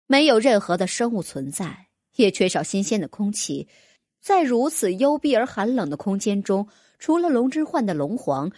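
Husky woman narrating with lively intonation. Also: mid-range voice, no echo, studio quality. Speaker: audiobook narrator. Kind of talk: scripted dramatic fantasy novel narration.

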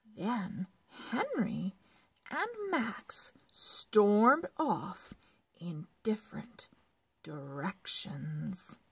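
Very slow, expressive woman reading to children. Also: medium pitch, husky, no echo. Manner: deliberate, word-by-word phrasing with animated emphasis. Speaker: children's book read-along narrator. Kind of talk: slow expressive read-aloud for early readers.

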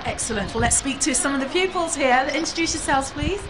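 A woman's voice: sits high, expressive. Upbeat female radio reporter outdoors. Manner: brisk, upbeat interviewer questions. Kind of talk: live outdoor radio interview. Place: school playground outdoors. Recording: loud outdoor background noise.

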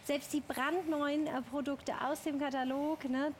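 A young adult woman hosting TV shopping, delivering an unscripted sales pitch straight to viewers. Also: little pitch movement, unhurried, a little hiss or hum. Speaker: TV shopping host. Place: TV shopping studio.

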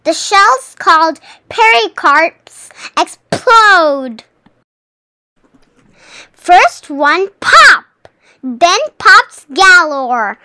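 A children's read-aloud host reading a storybook in an animated, deliberate, sing-song way. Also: very high voice, a little hiss or hum.

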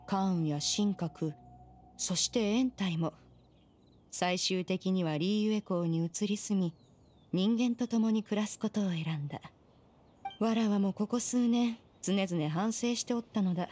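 A woman's voice: mid-range voice, darkish tone, a little hiss or hum, close to an everyday voice. A woman playing a proud, aloof immortal master in an anime game, speaking in imperious, formal, archaic language. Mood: reflective, solemn. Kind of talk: Japanese anime game voice acting.

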